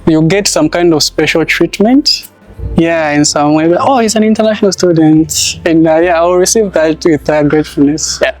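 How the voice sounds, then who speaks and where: Young adult male, Zambian accent, higher register, casual student interviewee, light music underneath. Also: normal speed, darkish tone, low-quality recording.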